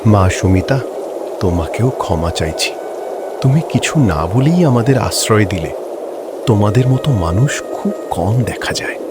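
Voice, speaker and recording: A man's voice: fairly low pitch, animated, unhurried, very noisy. A man playing a repentant middle-aged village father in a fairy-tale cartoon, speaking earnestly and emotively over music. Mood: remorseful. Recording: loud music bed behind voice.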